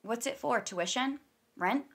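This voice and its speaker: A woman's voice: a little high, a little slow, creaky, fairly bright tone. A woman playing a guarded, sardonic massage therapist with clipped, deflecting replies in a naturalistic audio play. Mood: irritated, sarcastic. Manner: clipped deflections, sarcastic when riled.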